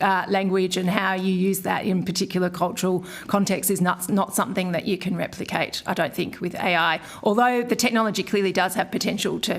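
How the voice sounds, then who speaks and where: A woman giving formal testimony to a parliamentary committee. She speaks in a measured way with frequent ums and uhs. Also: on the low side. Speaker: official witness.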